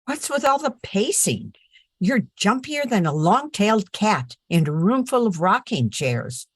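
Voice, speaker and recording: An older woman playing a sensible, sharp-tongued farm girl in a 1940s radio play, folksy and firm. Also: medium pitch, sing-song, a little slow, husky, no echo. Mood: exasperated, firm.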